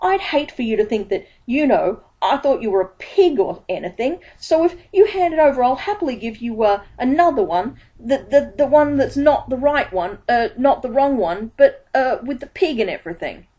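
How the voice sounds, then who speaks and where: A woman voicing a shifty, eager male pie seller with high, quick sales patter. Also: expressive, mellow, highs cut off, close to an everyday voice. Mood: flustered.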